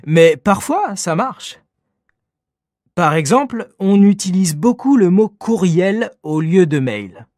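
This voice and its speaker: A man with a fairly high voice explains vocabulary slowly and calmly. He speaks with care in a dry studio sound. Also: no room sound. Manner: clear, measured explanations. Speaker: interviewed language expert. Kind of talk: scripted educational interview.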